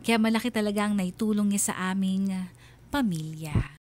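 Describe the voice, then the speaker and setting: A woman's voice: medium pitch, moderate pace, a little mellow, slight background noise, close to an everyday voice. Homesick mother voiced by an actress, earnestly narrating. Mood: wistful, hopeful. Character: homesick overseas working mother telling her story to a DJ. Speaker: narrator reading a listener's letter in the first person. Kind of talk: dramatized radio letter narration.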